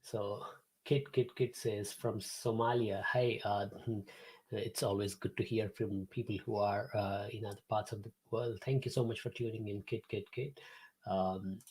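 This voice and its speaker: A man's voice: lowish voice, steady tone, unhurried, darkish tone, husky, low-quality recording. A man, hosting a live-streamed class from his home office, chatting to viewers with many fillers and restarts. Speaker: host and instructor.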